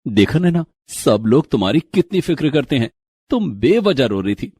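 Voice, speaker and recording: A man voicing a calm, reassuring young husband in a moral-story cartoon. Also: medium pitch, normal speed, slightly rough, no echo, studio quality. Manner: soothing, reassuring.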